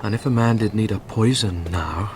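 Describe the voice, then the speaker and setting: An actor with a British accent playing an impassioned, grief-stricken young nobleman in a classical Shakespearean radio drama, delivering verse theatrically and deliberately. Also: lowish voice, slight background noise, natural voice. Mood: brooding, desperate.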